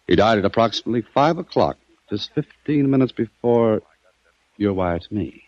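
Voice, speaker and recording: A man's voice: on the low side, animated, normal speed, a little mellow, dry recording. An actor playing a shrewd, cool-headed investigator in an old-time radio crime drama, commanding and sardonic as he needles his suspect. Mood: coolly accusing. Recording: dull vintage radio recording.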